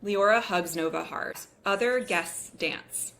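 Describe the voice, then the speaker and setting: A woman's voice: mid-range voice, takes it slowly, gravelly, fairly bright tone. A woman reading scripted narration and stage directions at a table read.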